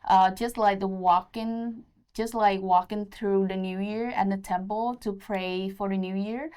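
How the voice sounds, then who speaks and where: A woman's voice: medium pitch, fairly even intonation, measured pace, a little mellow, clear, resonant tone. A woman in her twenties from Vietnam, speaking English with a Vietnamese accent as a pageant candidate, a little nervous but eager. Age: 20s.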